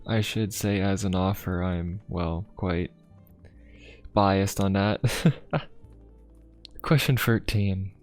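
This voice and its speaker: A man playing a courteous, inquisitive young pope of a steampunk fantasy world in an ASMR roleplay, speaking politely, formally and in a gently musing way. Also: low voice, slow, pauses often. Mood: musing, friendly. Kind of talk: ASMR roleplay addressed to the listener. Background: music.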